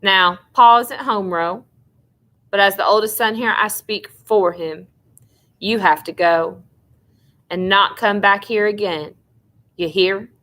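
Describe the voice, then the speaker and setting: A woman's voice: mid-range voice, slow pace, pauses often, sharp tone. A woman voicing a stern eldest brother from a rural family in a storybook, accusing and authoritative with folksy phrasing.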